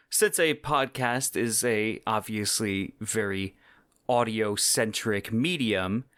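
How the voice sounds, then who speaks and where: Male podcast host, mid pitch, enthusiastic and conversational, very dry sound. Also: a bit bright, dry recording. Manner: enthusiastic, informal. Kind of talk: casual solo podcast commentary.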